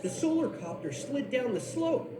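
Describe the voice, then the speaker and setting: Calm cartoon ranger parent, steady delivery, high voice, distant and roomy with loud noise behind. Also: female voice, moderate pace.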